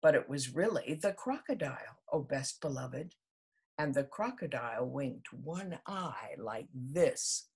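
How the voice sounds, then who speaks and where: A woman reading a children's story aloud as storyteller, with theatrical, deliberate emphasis. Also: extremely low pitch, animated, darkish tone, slightly rough.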